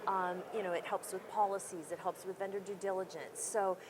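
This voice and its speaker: A woman's voice: mid-range voice, steady tone, brisk. A woman who runs a small credit union, chatting in a conference interview, self-deprecating and full of fillers. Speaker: credit union CEO, guest. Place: busy conference hall. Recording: noisy conference floor.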